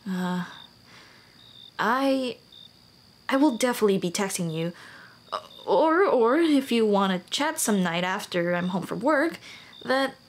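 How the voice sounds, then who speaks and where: A woman voicing a shy, teasing female dullahan on a date in an ASMR romance roleplay, speaking softly and hesitantly, often near a whisper. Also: mid-range voice. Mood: nervous, grateful. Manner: soft, hesitant, intimate, often dropping to a whisper.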